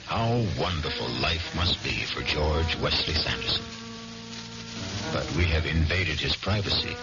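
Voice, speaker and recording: A very deep, creaky-voiced man hosts a radio mystery with deliberate, ominous narration. The old recording is dull, with music behind him. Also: wildly swooping pitch. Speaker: host and narrator.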